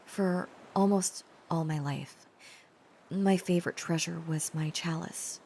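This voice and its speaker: A woman playing an earnest, devoted dragon-blooded girlfriend in an intimate romantic audio roleplay, confiding with hesitant pauses. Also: lowish voice, slight background noise. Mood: nervous, confessing. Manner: hesitant, confiding, soft-spoken pauses and stammers.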